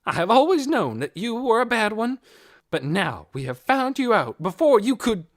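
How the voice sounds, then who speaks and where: A reader doing a shrill, outraged busybody woman. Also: big pitch swings, moderate pace, no room sound, clean studio sound. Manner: outraged, breathless, quivering. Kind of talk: audiobook character voice.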